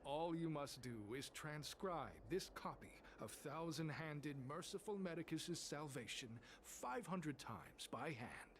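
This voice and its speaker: Sanctimonious, higher-voiced male cult zealot. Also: lively intonation, measured pace, hardly any pauses, slight background noise. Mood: solemn, instructive. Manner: solemn, formal, sanctimonious. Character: devout, zealous male cult disciple preaching a healing deity. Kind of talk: video game voice acting.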